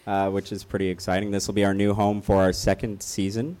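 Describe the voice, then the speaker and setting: A man's voice: little pitch movement, fairly quick, darkish tone, clear, resonant tone. A man co-hosting a live show, talking casually as he introduces things. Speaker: show co-host. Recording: faintly noisy live recording, dulled highs.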